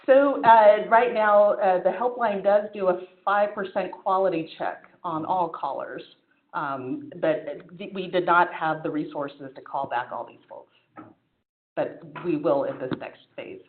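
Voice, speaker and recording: A woman's voice: on the low side, measured pace. A woman physician giving a conference talk and answering questions, in a conversational way with frequent fillers and restarts. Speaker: physician presenter. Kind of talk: conference presentation with Q&A. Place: conference room. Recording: phone-like echoey room sound.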